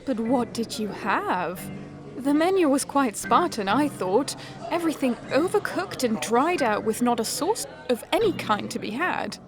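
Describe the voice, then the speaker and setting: A woman playing a reluctant young lady, deadpan and politely sarcastic, in a farcical audio drama. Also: fairly high pitch, unhurried, music in the background. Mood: dry politeness. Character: reluctant, drily sardonic young lady avoiding suitor.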